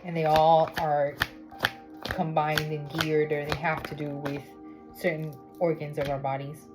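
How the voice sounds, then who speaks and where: A low-pitched woman from Miami talks through a tarot reading in a loose, chatty way, often stopping to check in with her viewers. Music plays clearly behind her. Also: little pitch movement, measured pace. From Miami, United States. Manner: chatty, with many fillers and "okay?" check-ins. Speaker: tarot reader. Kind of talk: casual spiritual reading vlog. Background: background music.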